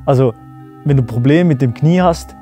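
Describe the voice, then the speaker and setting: A man's voice: mid-range voice, normal speed, warm tone, soft background music. A man in his twenties talking to camera in a joking, teasing, slangy vlog style. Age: 20s. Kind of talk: casual vlog talk to camera.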